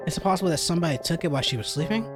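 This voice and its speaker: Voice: medium pitch, expressive, racing, over music, close to an everyday voice. A streamer voicing a self-doubting young detective student from a visual novel. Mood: calm, reasoning. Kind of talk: visual novel read aloud.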